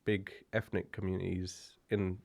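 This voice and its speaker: A man's voice: low voice, little pitch movement, unhurried, vocal fry, a little mellow. A man co-hosting a podcast, easygoing and chatty. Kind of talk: casual podcast chat.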